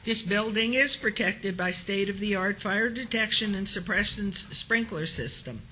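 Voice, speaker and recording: A woman with a hoarse voice from a cold, chairing a formal council meeting in city chambers and reading procedural announcements into a desk microphone. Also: medium pitch, moderate pace, bright, forceful tone, a little hiss or hum, low-quality recording. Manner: reads a set script, then chats lightly with colleagues.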